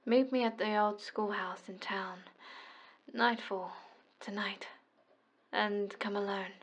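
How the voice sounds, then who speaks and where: Woman as a breathy, slow-speaking British evil sorceress. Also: medium pitch, fairly even intonation. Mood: commanding, alluring. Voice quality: breathy, whispery. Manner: slow, breathy, half-whispered, teasing and possessive. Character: alluring, commanding evil sorceress, a seductive witch. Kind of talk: ASMR roleplay.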